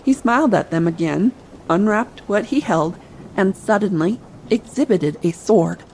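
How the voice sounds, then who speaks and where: A woman's voice: mid-range voice, expressive, measured pace, darkish tone, slight background noise, low-quality recording. A woman narrator reading a scripted adventure audiobook meant for bedtime listening. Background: ambient sea sound bed.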